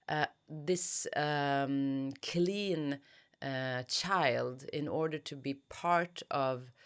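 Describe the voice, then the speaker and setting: A woman's voice: low-pitched, clear, resonant tone. A woman giving a reflective interview as a novelist, thoughtfully searching for her words. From Sweden.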